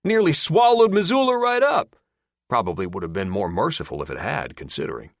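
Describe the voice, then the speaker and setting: A man's voice: medium pitch, very animated, brisk, creaky, no room sound, studio quality, voice pushed up. A man voicing a smirking male backwoods captor who taunts in a folksy, rambling way. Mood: mocking.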